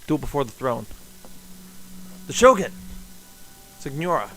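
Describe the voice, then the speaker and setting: A man's voice: mid-range voice, sing-song, a little slow, fairly bright tone, poor audio. A man doing casual live game commentary as a streamer, rambling and thinking aloud.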